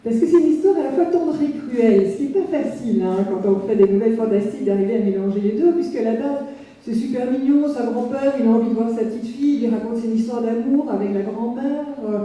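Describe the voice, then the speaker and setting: A woman's voice: on the high side, fast, dark tone. A woman on a jury explaining her choice on stage, hesitating often as she talks. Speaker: jury member. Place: large hall. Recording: echoey hall from far off.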